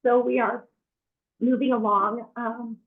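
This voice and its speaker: A hoarse woman, an events director, giving upbeat updates on a community video call. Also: fairly high pitch, flat delivery, slow. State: hoarse, losing her voice. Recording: tinny phone-like call audio.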